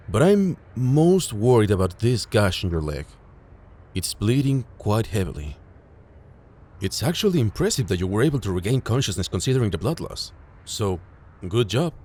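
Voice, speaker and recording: Guy playing a caring medic guy in an audio rp, lowish voice, gentle n matter-of-fact, lively intonation. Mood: calm, focused. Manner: gentle, reassuring, matter-of-fact, addressing a patient directly. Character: calm, caring male field medic, worried, reassuring. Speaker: voice actor in audio roleplay. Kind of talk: intimate scripted audio roleplay.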